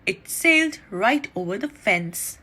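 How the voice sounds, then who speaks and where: A woman reading a children's story aloud in an animated, deliberate storytelling manner. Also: fairly high pitch, husky. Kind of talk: expressive read-aloud storytime narration.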